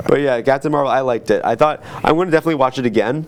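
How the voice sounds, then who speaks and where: A man's voice: mid-range voice, very fast, slight background noise. A man hosting a film podcast, talking in a casual, conversational style. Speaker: podcast host reviewing films. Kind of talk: casual chat.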